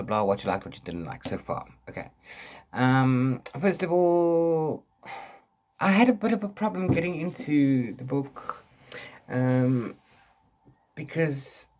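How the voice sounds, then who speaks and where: A man with a mid-pitched voice that swings widely up and down. He chats casually like a book vlogger, wandering through his thoughts, repeating words and breaking off to start again. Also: a little mellow. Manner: rambling and self-interrupting, repeats words. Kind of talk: casual book chat to camera.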